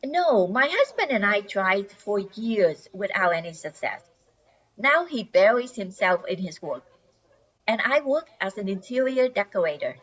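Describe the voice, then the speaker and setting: An older woman playing a polite suburban housewife greeting neighbors in a radio comedy, expressive, on a dull recording. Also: medium pitch, measured pace. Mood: curious. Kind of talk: old-time radio comedy acting. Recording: dull lo-fi sound.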